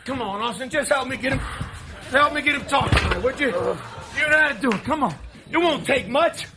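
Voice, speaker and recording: An actor playing a pleading, hot-tempered older brother, a rough drifter in a stage play, blurting and stammering insistently. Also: male voice, very high-pitched, expressive, normal speed, background noise. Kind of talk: live stage play acting.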